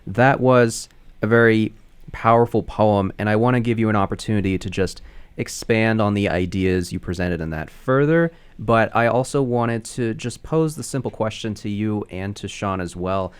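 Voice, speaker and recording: Low-voiced relaxed radio host. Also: male voice, moderate pace, smooth. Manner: relaxed, easygoing questions. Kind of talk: radio interview. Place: radio studio.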